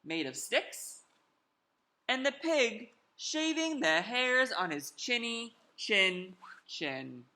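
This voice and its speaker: A bright, forceful voice reads a children's story aloud with theatrical emphasis. The pace is slow and deliberate, and the room adds a noticeable echo to the home recording. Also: mid-range voice, big pitch swings. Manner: theatrical, drawn-out emphasis, invites listeners to join in. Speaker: storyteller reading a picture book aloud to children. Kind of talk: animated children's story reading, performed in first person.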